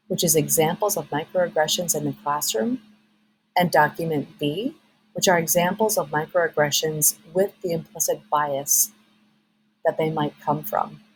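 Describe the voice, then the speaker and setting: A woman facilitating a training workshop, giving clear step-by-step instructions. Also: sits low, a little hiss or hum, low-quality recording. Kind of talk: instructional voice-over.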